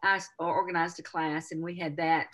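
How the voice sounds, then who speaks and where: An older woman hosting a casual conversational interview, halting a little and repeating words as she goes. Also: sits low, little pitch movement, fairly bright tone. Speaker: interviewer, host. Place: indoor home office, on video call.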